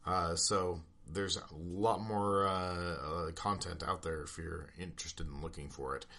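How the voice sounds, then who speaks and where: A man's voice: low voice, fairly even intonation, unhurried, sharp tone. A man hosting a radio show in a casual, laid-back, slightly hesitant way.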